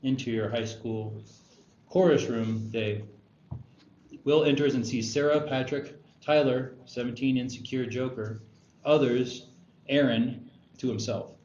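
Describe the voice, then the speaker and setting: A man's voice: medium pitch, fairly even intonation, measured pace, a little mellow. Man reading stage directions, echoey room. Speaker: stage-direction reader at a screenplay table read. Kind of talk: scripted narration. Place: roomy indoor table read. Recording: echoey room, dull sound, faint noise.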